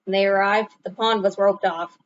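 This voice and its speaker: A woman narrating a children's story aloud in an expressive storytelling manner. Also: mid-range voice, moderate pace, muffled recording. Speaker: storybook reader.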